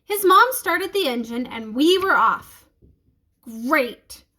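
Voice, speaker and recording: High, lively woman reading slowly. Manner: expressive, addressing children directly. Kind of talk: children's book read-aloud.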